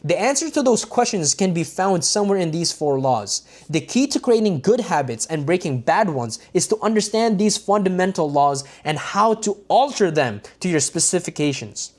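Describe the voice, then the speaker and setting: A man's voice: sits high, sing-song, moderate pace, hardly any pauses. A man reading a self-help book aloud on a livestream, with stumbles and restarts and casual asides to his viewers.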